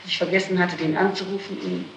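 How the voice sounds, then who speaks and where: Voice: mid-range voice, steady tone, fast. A person being questioned by police, giving an explanatory account with self-corrections, on an old archival tape. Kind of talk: interrogation testimony, recounting events. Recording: roomy, noisy archival tape recording.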